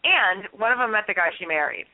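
A woman's voice: mid-range voice, expressive, quick pace, no room sound. A woman guest on a radio interview, bubbly and laughing often as she tells a story, acting out what her friends said. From Los Angeles. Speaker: dating expert guest. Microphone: phone call. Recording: tinny phone line.

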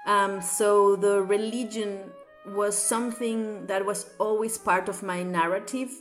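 A woman's voice: mid-range voice, fairly even intonation, measured pace, soft background music. A woman from Chile with a Chilean accent, reading a survey aloud and then telling her own story with ums and uhs.

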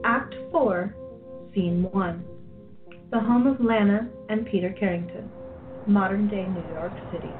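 A woman's voice: mid-range voice, a little slow. A woman reading scripted narration for a radio play. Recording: tinny phone-like sound, faint music behind.

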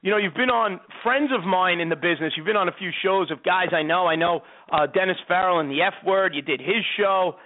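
High-voiced talk radio host on a phone-like line. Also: male voice, a little fast, hardly any pauses. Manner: rambling, repeats words. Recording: tinny phone-like sound.

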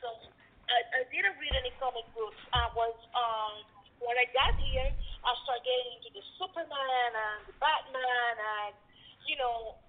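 A woman's voice: a little high, normal speed. A woman from Cuba in her thirties, a guest speaking candidly in a casual interview and laughing easily. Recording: tinny phone line.

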